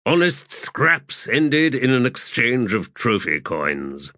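A man voicing a strict, severe army officer with a rough voice, speaking slowly and dryly. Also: medium pitch, big pitch swings, sharp tone, no echo, voice pushed up, full character voice. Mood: reflective. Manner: slow, dry and severe. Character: stern strict older male army officer.

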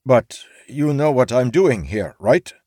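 A man's voice: mid-range voice, lively intonation, slow pace, darkish tone, no room sound, studio quality, pitched above the performer's own voice. A man voicing a flustered, evasive male samurai. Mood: defeated. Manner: defensive, sputtering.

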